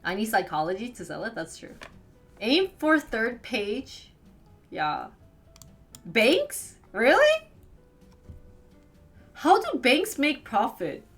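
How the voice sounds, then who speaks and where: A woman with a Wisconsin accent talks casually to her viewers on a live stream, tossing quick questions back at them. Her voice sits a bit high and swings up and down a lot. Soft music plays faintly behind her. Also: wildly swooping pitch. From United States. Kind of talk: casual chat answering viewers.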